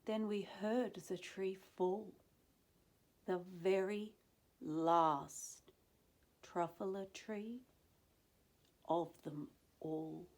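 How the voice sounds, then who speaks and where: A woman reading a children's story aloud expressively, with dramatic pauses and asides to young listeners. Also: medium pitch, fairly even intonation, darkish tone. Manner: dramatic pauses, drawn-out phrasing.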